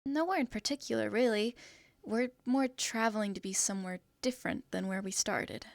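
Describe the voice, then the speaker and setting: A woman's voice: medium pitch, normal speed, creaky, no room sound, studio quality, natural voice. A woman voicing a shy young winged girl who travels with her cat, speaking in a hesitant, apologetic way. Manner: hesitant, apologetic, soft-spoken. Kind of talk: fantasy audio drama acting.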